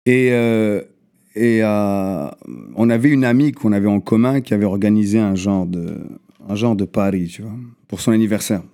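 A man in his thirties who grew up in Morocco, a guest telling his story in a relaxed way and often tagging his lines with "tu vois". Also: on the low side, normal speed. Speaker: guest rapper. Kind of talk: casual interview storytelling.

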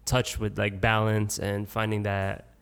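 A man co-hosting a casual podcast chat, asking relaxed questions full of fillers. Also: lowish voice, unhurried, creaky, smooth. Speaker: podcast co-host.

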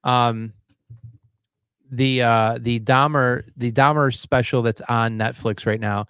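A man's voice: medium pitch, fairly even intonation, takes it slowly, a bit bright, clean tone, dry recording, studio quality. An American man co-hosting in loose, profane casual chat, slightly sniffly. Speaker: co-host.

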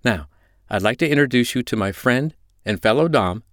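A man's voice: medium pitch, expressive, normal speed, fairly bright tone, no echo, studio quality, voice pushed up, natural voice. A man voicing a firm, commanding but reassuring mistress in an audiobook story. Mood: calm, reassuring. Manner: firm, instructing, soothing. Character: commanding, reassuring adult woman, a dominatrix mistress.

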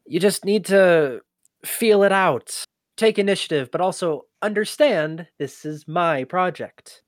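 An actor playing an inquisitive human archivist in an audio drama, with a conversational, halting, often exasperated manner. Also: medium pitch, expressive, dry recording, clean studio sound, close to an everyday voice. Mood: frustrated, insistent. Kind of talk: scripted audio drama acting.

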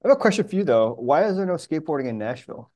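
Middle-aged American man, New Yorker, soft-edged dark tone, casual conversational delivery. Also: a little high, sing-song, very fast. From New York, USA. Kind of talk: casual chat.

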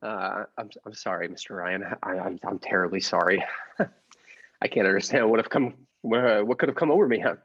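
A man's voice: lowish voice, normal speed, husky, highs cut off. A man playing a meek daydreaming clerk who puts on a swaggering movie gangster, in a comic radio play. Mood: sheepish, flustered. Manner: stammering when meek, clipped gangster swagger when posing. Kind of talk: improv podcast performance of classic radio comedy play.

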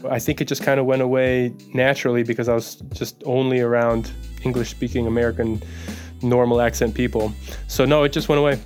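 Relaxed Russian-born male actor guest. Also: mid-range voice, fairly quick, soft background music. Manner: relaxed, rambling, with many likes and restarts. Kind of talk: casual interview conversation.

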